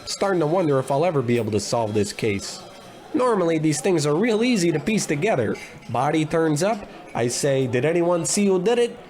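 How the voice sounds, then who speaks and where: Man playing high-voiced, blustery bumbling private eye. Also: very animated, fairly quick, a little mellow, soft background music. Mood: blustery, oblivious. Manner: oblivious, self-important, grumbling. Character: bumbling, blustery, gluttonous male private investigator. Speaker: private detective in a radio play. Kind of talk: scripted comedy in old radio detective style.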